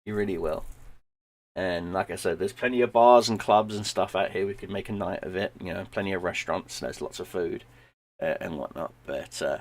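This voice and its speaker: A man, hoarse and losing his voice, chatting loosely to viewers at home at the end of a stream, rambling and repeating words. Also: low-pitched, brisk. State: losing his voice, sinus infection. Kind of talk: casual stream sign-off chat.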